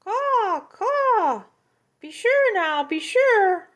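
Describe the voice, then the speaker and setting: A woman voicing a nosy talking crow in a children's story, loud and squawking with drawn-out caws. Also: very high-pitched, sing-song, pitched above the performer's own voice, full character voice. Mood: squawking, insistent. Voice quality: cawing, squawking.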